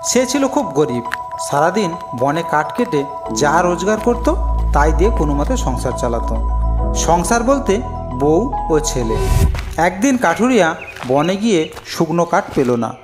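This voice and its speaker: Man, high-pitched voice, scripted fairy-tale narration, storyteller, clear background music. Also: sing-song, measured pace.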